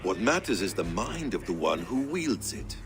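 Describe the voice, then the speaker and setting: An actor playing a calm, wise mentor of a secret brotherhood, speaking in a measured, instructive way in a game cutscene. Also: male voice, low-pitched, a crowd in the background. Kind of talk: video game cutscene acting.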